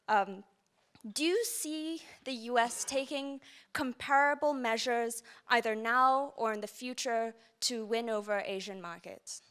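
Young student girl from malaysia, high clear voice, asking the panel a question. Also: fairly bright tone. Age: young adult. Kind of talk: audience question. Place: large conference hall.

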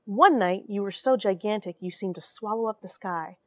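A woman in her forties reading and explaining a story to children in an animated, questioning way from a small airlock. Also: mid-range voice, moderate pace. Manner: animated, expressive, addresses young listeners with questions. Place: small cramped metal space station airlock.

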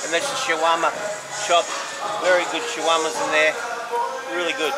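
A man giving a casual, halting walking commentary as he points out sights outdoors. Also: fairly high pitch, completely flat delivery, over loud music, rough recording. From Australia. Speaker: walking tour vlogger. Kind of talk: casual walk-and-talk commentary. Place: outdoors, walking along a city street. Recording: outdoor street recording with clear traffic noise. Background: street and vehicle noise.

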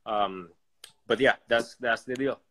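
A Puerto Rican man in his forties talks casually in accented speech, a native Spanish speaker. His voice sits at a middle pitch, and he speaks into a phone at home as a caller. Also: measured pace, sharp tone. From Puerto Rico. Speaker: caller, personal trainer. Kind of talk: casual chat. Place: indoor room at home. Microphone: phone at arm's length.

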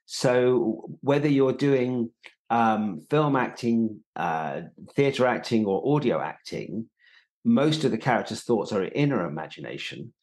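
A middle-aged British man explaining like a teacher in conversation, halting and repeating words as he goes. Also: medium pitch, animated, darkish tone. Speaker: acting teacher, podcast host. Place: indoor room on a video call.

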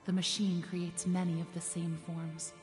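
Woman playing a low-voiced, composed warrior woman. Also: little pitch movement, moderate pace, darkish tone, close to an everyday voice. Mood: calm, wry. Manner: composed, wry, firm. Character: guarded, level-headed woman warrior ally. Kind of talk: video game cutscene acting. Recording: long reverb, faint music behind.

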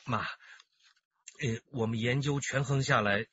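An actor playing an anxious, timid grown son in a radio drama, hesitant and deferential. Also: male voice, mid-range voice, slow, sharp tone, highs cut off, a little hiss or hum.

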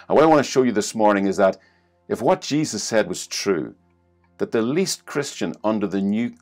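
Earnest middle-aged Irish pastor preaching. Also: male voice, on the low side. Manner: earnest, builds to emphatic exclamations. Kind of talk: online sermon with scripture reading. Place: living room at home. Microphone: webcam at desk distance.

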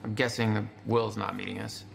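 An actor playing a down-to-earth, skeptical hero with a gravelly voice and dry, casual delivery in a cinematic video game. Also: male voice, fairly low pitch, flat delivery, normal speed, slight background noise. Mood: wry. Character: wry, skeptical male hero, loyal younger brother, down-to-earth. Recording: music behind the voice.

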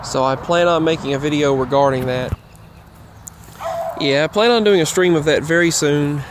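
A man giving a casual, rambling update while walking outdoors, halting and often repeating himself. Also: a little high. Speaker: vlogger. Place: outdoors, walking in a grassy yard near roads. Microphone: earbuds microphone with a phone. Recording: clear outdoor traffic noise. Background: passing traffic.

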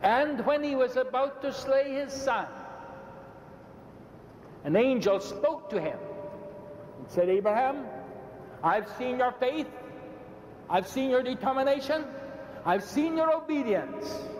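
Older man, from Ireland, emphatic sermon, very high voice, long arena reverb, faint noise. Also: lively intonation, slow, pauses often, a little mellow. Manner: emphatic, repetitive building phrases, gesturing widely. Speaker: bishop debating in a public debate. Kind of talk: impassioned preaching to a large audience. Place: large indoor arena stage. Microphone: podium microphone, speaker often stepping away.

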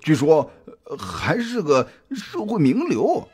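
Husky, animated, chatty detective played by a man. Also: fairly high pitch, exaggerated voice. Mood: gossipy. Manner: halting, colloquial, with drawn-out hesitations. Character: jovial, chatty male private detective, easygoing friend. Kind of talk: audio drama dialogue. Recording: music behind the voice.

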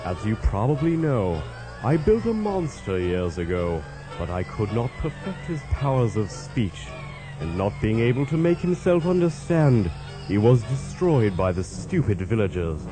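A man as an animated, earnest mad scientist. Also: lowish voice, measured pace, over music. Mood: grave, paternal. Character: fussy mad-scientist uncle, earnest and paternal. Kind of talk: radio play comedy acting.

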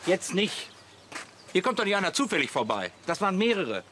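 Radio play role: pompous male camper, combative, high voice with big pitch swings. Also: very animated, fairly quick, fairly bright tone, slight background noise. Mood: alarmist, pompous. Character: blustering, indignant self-appointed campground ringleader Hajo. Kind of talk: German radio play acting.

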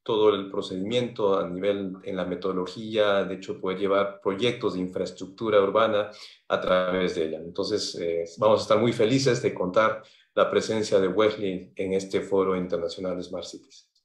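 Low-voiced man on a roomy call. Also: steady tone, normal speed. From Peru. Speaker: engineer, forum organizer. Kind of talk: panel discussion on a video call. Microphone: video call. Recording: roomy video-call audio.

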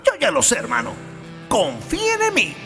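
Flamboyant high-voiced disco soccer dude. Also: male voice, wildly swooping pitch, moderate pace, gravelly, music in the background, heavily acted. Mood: triumphant. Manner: dramatic, exclamatory, slangy. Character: flamboyant, excitable young male disco-loving soccer player.

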